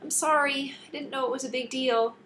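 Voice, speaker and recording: Woman voicing a plaintive, higher-pitched young female assistant. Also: fairly even intonation, brisk, fairly bright tone, slight background noise. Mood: apologetic. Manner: plaintive, wailing. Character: young female assistant mechanic, absent-minded, anxious, apologetic.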